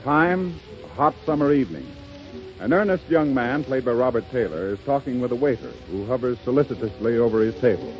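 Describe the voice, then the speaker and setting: Formal 1930s radio announcer. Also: male voice, expressive, fairly quick. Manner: smooth, formal pitchman. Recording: muffled 1930s radio broadcast with music.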